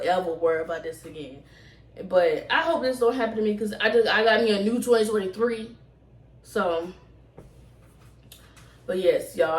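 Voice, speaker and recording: Echoey, distant woman telling a casual story. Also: mid-range voice. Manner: animated, rambling, slangy delivery with emphatic repeats. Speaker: vlogger. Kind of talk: casual storytime chat to camera. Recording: roomy echo, distant microphone.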